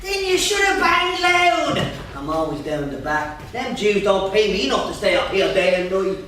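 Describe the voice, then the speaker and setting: An actor as a loud, grumpy caretaker. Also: medium pitch, sing-song, moderate pace. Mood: gruff, irritated. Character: grumpy Dublin cemetery caretaker, begrudging and loud. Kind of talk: staged play reading. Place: small theatre, staged reading. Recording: echoey theatre, distant mic, faint noise.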